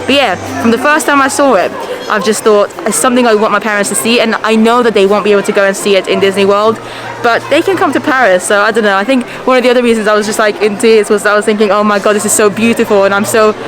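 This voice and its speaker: A woman's voice: medium pitch, steady tone, quick pace, poor audio. A woman from Switzerland vlogging casually to a handheld camera, chatty and gushing. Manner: chatty, gushing, frequent laughter. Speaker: theme park vlogger. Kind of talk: casual vlog chat to camera. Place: busy theme park with music and crowds. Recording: loud park music and crowd noise behind.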